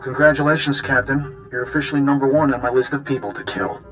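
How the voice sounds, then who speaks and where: A man's voice: medium pitch, little pitch movement, fast, over music, lightly acted, poor audio. A man playing a cocky, vengeful middle-aged rogue in a video game, taunting and smug. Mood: smug menace.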